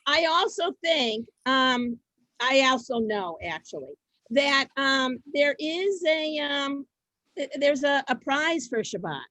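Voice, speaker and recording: A woman hosting an online event over a video call, chatting informally and haltingly, often repeating her phrases. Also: sits high, expressive, bright, forceful tone, dry recording. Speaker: host of an online reader's theater event.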